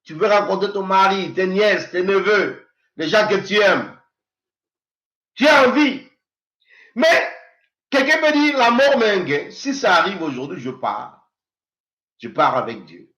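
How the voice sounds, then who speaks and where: A man in his fifties delivers a sermon with a high, bright, ringing voice. He swings between loud exhortation and hushed, prayerful passages. The room is echoey around him. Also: animated, unhurried, pauses often. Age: 50s. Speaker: pastor.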